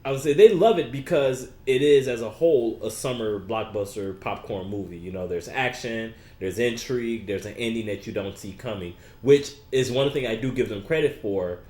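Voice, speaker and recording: A man's voice: medium pitch, normal speed, few pauses, darkish tone. A man co-hosting a casual podcast chat, laying out his view in a laid-back, explanatory way. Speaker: podcast co-host. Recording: echoey room.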